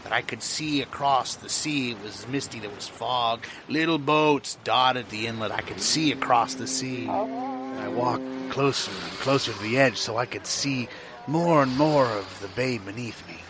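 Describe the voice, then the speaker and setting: Guy doing an anxious dreamer telling a weird dream, dramatic n halting, mid voice, noisy ambience behind. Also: mid-range voice, lively intonation, close to an everyday voice. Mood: dreamy, wondering. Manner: dramatic, halting, repeats himself. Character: anxious dreamer recounting a surreal dream, swinging from hushed to panicked. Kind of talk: improvised audio theatre monologue.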